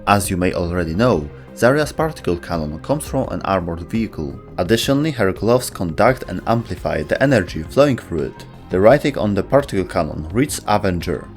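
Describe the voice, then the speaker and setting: A man's voice: sits low, sing-song, a little fast, few pauses. A man narrating a scripted video essay about game lore. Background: music.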